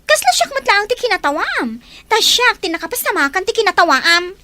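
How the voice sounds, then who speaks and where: A gentle young village woman from an Ilocano audio drama, acted by a woman. Her voice is very high and bright, with a tender, hurt delivery. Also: sing-song, fairly quick, full character voice.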